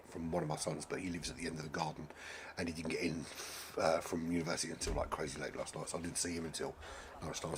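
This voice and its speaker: A middle-aged British man with a hoarse voice who is losing it, commentating a live sim race in a chatty, rambling way with casual swearing. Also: deep voice.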